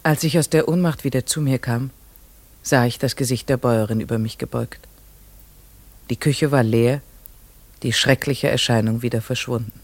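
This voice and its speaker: An actress playing a frightened, bewildered young lady in a German radio play, speaking haltingly and often whispering. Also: very deep voice, no echo. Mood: shaken narration.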